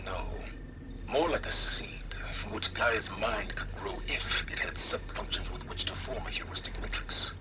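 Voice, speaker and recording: An actor playing a cautious, supportive male companion in a video game cutscene, speaking softly and earnestly. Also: fairly low pitch, lively intonation, moderate pace, heavy background noise. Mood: hushed, doubtful.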